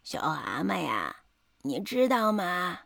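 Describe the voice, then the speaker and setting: A woman performs a wise old grandpa toad from a children's storybook. The voice sits low and creaky with a gravelly texture, yet stays bright and forceful. Every word comes out slow and deliberate, recorded close and dry. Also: very animated, no room sound, full character voice. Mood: wise, patient. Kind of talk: children's bedtime story reading.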